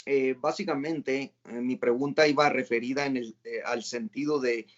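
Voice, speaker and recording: A man's voice: medium pitch, steady tone, normal speed, lo-fi sound. Man hosting, rambling questions. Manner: questions full of fillers, rephrasing as he goes. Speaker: host and interviewer. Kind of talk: casual religious interview.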